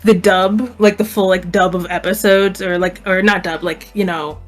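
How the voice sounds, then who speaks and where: A woman's voice: mid-range voice, fairly even intonation, normal speed. A woman hosting an informal group chat podcast, reacting eagerly to the others. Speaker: podcast host.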